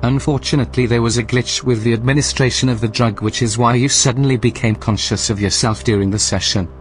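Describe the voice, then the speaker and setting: A calm male artificial intelligence played by a man runs a research station in a science-fiction audio drama. He speaks in a formal, clinical and politely measured way at a middling pitch. Faint music sits behind him. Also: lightly acted. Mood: calm, apologetic.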